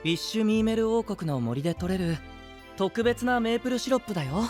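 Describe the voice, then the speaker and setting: An actor playing a gentle, innocent, princely young man in an anime-style voice drama, kindly and guileless. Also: sits high, animated, brisk, soft background music. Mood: happy, gentle. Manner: soft-spoken, guileless, kindly. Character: gentle, innocent, warm-hearted princely young male knight.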